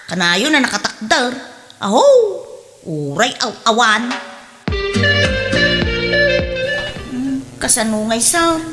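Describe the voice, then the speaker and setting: In a reverberant hall sound, a brash young Ilocano housemaid with a high, bright voice. She is sassy and whiny in full radio drama style, with a Filipino accent. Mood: eager, ingratiating. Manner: sassy, whiny, exaggerated delivery. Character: brash, lazy, thieving young housemaid who talks back.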